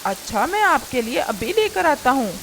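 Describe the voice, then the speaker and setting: A woman plays a hapless male cartoon crow with a fairly high voice. He is lazy and henpecked, whiny and always eager to please. There is faint noise behind the voice. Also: sing-song, normal speed, fairly bright tone, exaggerated voice. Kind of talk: Hindi cartoon character acting.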